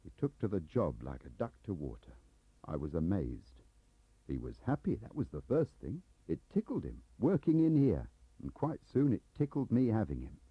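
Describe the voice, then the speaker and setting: A lonely middle-aged English clerk as a male reader plays him confides and complains in a rambling, defensive way. The voice is husky and animated, on a muffled audiobook cassette. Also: low voice, moderate pace, no room sound, full character voice. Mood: wistful, confessional. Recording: dull lo-fi cassette transfer.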